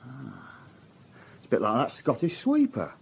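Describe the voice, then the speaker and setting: A man's voice: mid-range voice, huge pitch swings, measured pace, gravelly, a little hiss or hum, full character voice. A young working-class army private in a radio drama. His Northern English speech swings up and down, awkward and hesitant, with plain colloquial phrasing. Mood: awkward, guarded. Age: young adult. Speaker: actor. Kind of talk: naturalistic radio drama acting.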